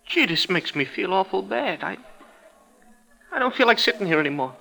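Squeaky, creaky excitable Broadway gambler. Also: male voice, normal speed, faint music behind, heavily acted. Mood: saddened. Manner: slangy, exclamatory, questioning. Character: excitable, soft-hearted small-time Broadway betting man. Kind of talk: 1940s-50s radio drama acting.

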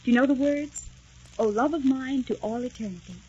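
A woman's voice: sits high, fairly quick, mellow, breathy edge. A woman playing a sweet, devoted young woman in love, tender and earnest in a melodramatic 1940s radio style. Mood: gentle. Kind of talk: 1940s radio drama acting. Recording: muffled, noisy vintage radio recording.

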